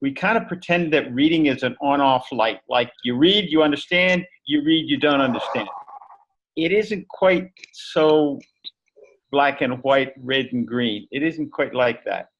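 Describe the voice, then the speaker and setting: A man's voice: mid-range voice, a little slow, fairly bright tone, dull audio. A man teacher giving a thoughtful, explanatory answer in an online discussion over a video call.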